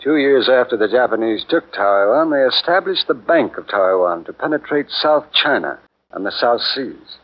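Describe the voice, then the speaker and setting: An actor playing an earnest missionary in a 1940s radio play. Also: male voice, medium pitch, normal speed, lightly acted. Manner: steady explanatory delivery. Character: male missionary explaining. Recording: muffled 1940s radio broadcast.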